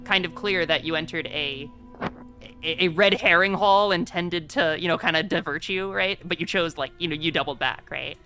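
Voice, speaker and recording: A woman's voice: fairly low pitch, normal speed, sharp tone, soft background music. A woman casually explaining things as game master of a tabletop game, often checking that players follow. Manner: frequent check-in tags.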